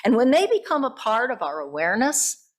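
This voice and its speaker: A woman pastor giving a sermon in deliberate, emphatic phrases, often posing rhetorical questions. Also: on the high side, very animated.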